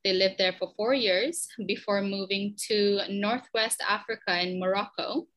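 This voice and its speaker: A Malaysian woman in her twenties, a podcast guest, chatting in a lively way with frequent ums and restarts. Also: medium pitch, measured pace. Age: 20s. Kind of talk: conversational interview answers.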